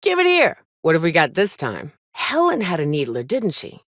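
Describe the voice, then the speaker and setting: A woman voicing a gruff, tough but caring frontier monster hunter in a video game, with wry, folksy, blunt delivery. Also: fairly low pitch, huge pitch swings, normal speed, a bit bright, no echo, clean studio sound. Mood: eager, greedy, then matter-of-fact, wry. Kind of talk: video game character dialogue.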